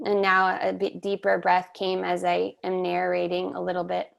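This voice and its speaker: A woman hosting a webinar, speaking in a slow, measured, reflective way over a video call. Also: on the low side, completely flat delivery, creaky. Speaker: webinar host and somatic teacher. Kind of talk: reflective guided conversation.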